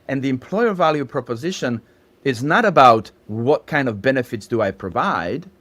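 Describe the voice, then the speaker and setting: A man's voice: mid-range voice, lively intonation, normal speed, a bit bright. A man in his fifties from Slovakia with a Slovak accent, a podcast guest who explains at length with asides. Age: 50s. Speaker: guest, startup founder. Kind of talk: podcast interview.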